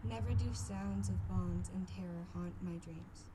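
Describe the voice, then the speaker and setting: A young woman in her late teens giving a solemn poetry recitation in measured, deliberate phrases. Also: on the low side. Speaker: poem reader. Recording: noisy outdoor ambience.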